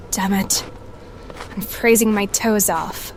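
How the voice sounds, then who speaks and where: A woman's voice: medium pitch, steady tone, extremely slow. A woman playing a young, impatient hireling in an audio drama, complaining and questioning. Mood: grumbling, cold. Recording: clear background noise.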